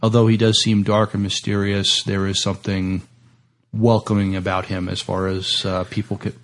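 A man with a fairly low voice and a lot of fry in it co-hosts a podcast. He talks casually and haltingly, often stumbling over his words as he works through entertainment news and opinions. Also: flat delivery. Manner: halting, stammering, tentative. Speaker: podcast co-host.

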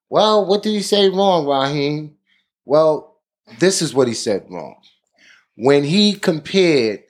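A man hosting a podcast, giving deliberate commentary in a preaching tone. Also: medium pitch. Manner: deliberate, preaching tone, frequent "you know". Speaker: podcast host.

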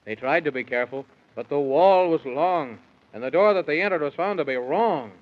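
A fairly high-pitched male narrator tells a children's tale in rhyme. His delivery is theatrical and sing-song, as in 1930s radio, and the old recording sounds dull. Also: animated, normal speed.

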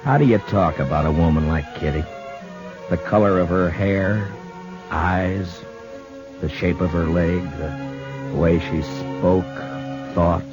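An actor playing a plainspoken, earnest frontier marshal with an American accent and a halting manner in a 1950s radio western. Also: male voice. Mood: reflective narration. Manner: halting, low-key, awkwardly sincere. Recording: dull 1950s radio recording, music.

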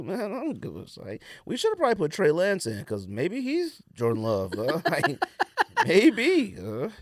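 Male podcast co-host, informal and slangy sports talk, very expressive intonation, dry close sound. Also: medium pitch, no room sound. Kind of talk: casual sports chat.

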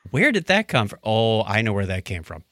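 A male podcast co-host with a lively, swooping delivery and a bright, forward voice. He chats casually, in a wry and joking way, with a touch of disbelief. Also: lowish voice, brisk, dry recording, clean studio sound.

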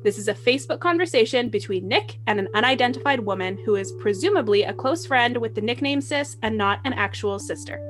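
A woman's voice: on the high side, expressive, brisk, few pauses, fairly bright tone. A woman hosting a podcast, delivering scripted narration as the narrator. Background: music.

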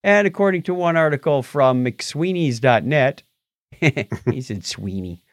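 A man's voice: medium pitch, unhurried, no echo, clean studio sound. A man co-hosting a podcast, chatting casually with a joking, playful manner. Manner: joking, lively, playful asides. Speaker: podcast co-host. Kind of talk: casual banter.

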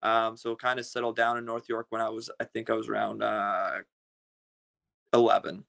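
A man in his thirties, originally from Russia, answers a podcast interviewer's questions in a casual, halting way, with frequent fillers and restarts. His voice is gravelly and bright and stays fairly flat in pitch, yet it comes through smooth and clear. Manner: hesitant, many fillers and restarts. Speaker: guest musician.

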